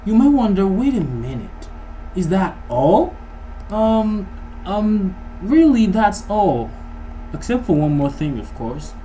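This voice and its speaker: A man giving a casual programming tutorial, thinking aloud in an informal, halting way as he works. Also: high-pitched, very animated, mellow. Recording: noisy mic with keyboard typing. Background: background noise, keyboard typing.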